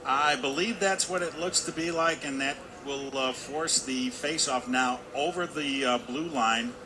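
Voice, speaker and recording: A man giving live hockey analysis on radio as color commentator, explaining play conversationally in halting phrases. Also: mid-range voice. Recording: clear background noise of an ice rink.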